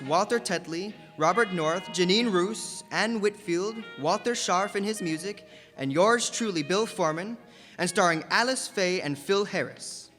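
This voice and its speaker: A man's voice: high voice, bright, forceful tone, heavily acted. A man playing an upbeat announcer in a 1940s-style radio comedy show. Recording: reverberant hall, music behind.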